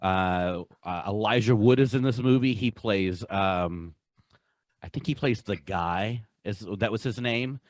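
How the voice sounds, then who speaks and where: A young man co-hosts a casual podcast with a fairly low voice. He rambles, restarts and stammers on repeated words. The sound is dry and close to the mic. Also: unhurried, dry recording. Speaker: podcast co-host. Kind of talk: casual chat.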